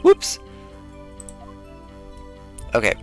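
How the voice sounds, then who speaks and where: Guy doing casual game commentary, mutters and whispers to himself, soft music underneath. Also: extremely slow. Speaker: let's-play host.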